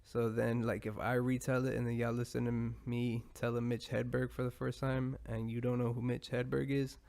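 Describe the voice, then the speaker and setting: Man, podcast host, gravelly voice, mid pitch, rambling, profane, casual monologue. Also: level tone, normal speed, darkish tone. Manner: rambling, profane, laid-back.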